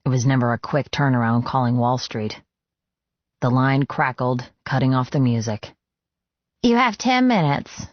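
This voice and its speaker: A man's voice: medium pitch, dry recording. Guy reading an audiobook, dramatic first person narration, close dry slightly muffled recording. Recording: dry, slightly dull sound.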